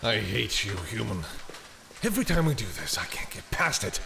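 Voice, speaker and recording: A man playing a flustered, tender and grudgingly fond vampire boyfriend in an intimate roleplay audio, hesitant and teasing, with a husky voice. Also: lowish voice, big pitch swings, moderate pace. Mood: mock menace. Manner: hesitant, easily embarrassed, teasing.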